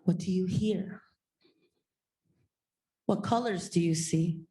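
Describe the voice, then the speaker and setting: Woman, guided-imagery leader, slow steady questions, warm mellow tone. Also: lowish voice. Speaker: contemplation leader at a summit.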